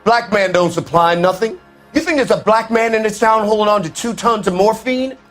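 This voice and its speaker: A man's voice: high voice, moderate pace, fairly bright tone, faint music behind. An actor playing an angry male nightclub musician in a 1940s noir game, heated and emphatic.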